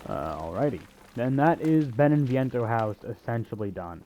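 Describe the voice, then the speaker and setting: A man's voice: mid-range voice, expressive, normal speed, mellow, highs cut off. Man doing casual game commentary, noisy background. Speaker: video game walkthrough host.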